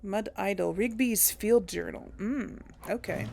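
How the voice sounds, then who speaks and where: A woman's voice: mid-range voice, unhurried, creaky, mellow, a little hiss or hum. A young woman chatting casually through a video game, thinking aloud and correcting herself as she goes. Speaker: let's play host. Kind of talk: casual gameplay commentary.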